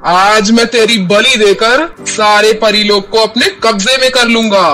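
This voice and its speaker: A man voicing a menacing demon in a cartoon story, with a forceful, threatening manner. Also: high-pitched, fairly even intonation, unhurried, soft background music. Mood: menacing, gloating. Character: menacing male demon holding a fairy captive. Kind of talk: cartoon voice acting.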